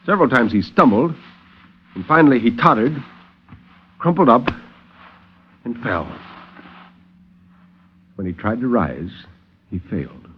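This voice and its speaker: Male narrator for vintage 1950s radio horror; grave, theatrical, widely varied pitch, slightly dull old recording. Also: very animated, slight background noise. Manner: measured, grave, theatrical storytelling. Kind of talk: dramatic 1950s radio horror story reading.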